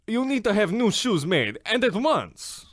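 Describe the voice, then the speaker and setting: A man plays a haughty shoemaker from an old-time radio comedy. His fairly high voice swoops up and down with theatrical pomp, recorded close and dry. He sells bluntly and grandly. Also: big pitch swings, a little slow, no room sound, full character voice. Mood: blunt, exasperated. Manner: pompous, theatrical, swooping delivery.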